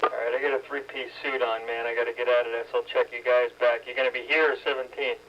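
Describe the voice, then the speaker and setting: A man checks in over CB radio, talking in an offhand, matter-of-fact way and showing he knows old radio gear well. His delivery stays flat, with little rise or fall. The signal is muffled, with a faint hiss behind it. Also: mid-range voice, fast talker. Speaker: CB radio caller. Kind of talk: casual radio chat.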